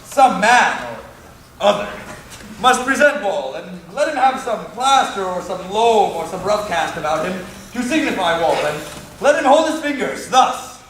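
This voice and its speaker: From far off in an echoey room comes a bombastic, eager weaver acted by a man. His voice is strikingly high for a man, and he commands like a self-appointed star of a stage comedy. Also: sing-song, measured pace, a bit bright, exaggerated voice. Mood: excited. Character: Bottom, bossy, eager weaver and amateur actor. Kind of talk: theatrical Shakespearean stage acting. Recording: echoey room, distant mic, faint noise.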